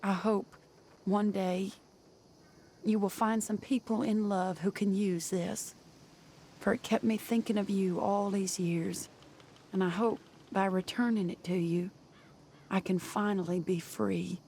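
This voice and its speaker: A heartsick, gentle woman, voiced by an actress, reading slowly in a soft, mellow tone. Her delivery is wistful and tender, a dramatic game voice-over with faint ambience. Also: mid-range voice, fairly even intonation, slight background noise, natural voice. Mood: sad, tender.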